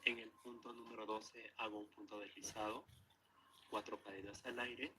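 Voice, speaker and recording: A man's voice: fairly low pitch, little pitch movement, fairly quick. A man narrating craft instructions step by step, as an instructor. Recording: clear background noise, room ambience.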